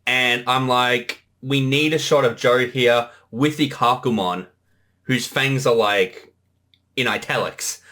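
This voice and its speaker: A man's voice: medium pitch, slow, pushed and bright. A man giving casual creator commentary on his own series.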